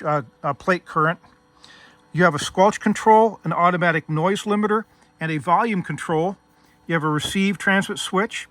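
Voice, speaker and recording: A man presents a casual hands-on demonstration as the host. His voice sits a little high and has a very bright, crisp edge. He explains each step methodically, in an informal way. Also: no echo. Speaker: host demonstrating vintage radio gear.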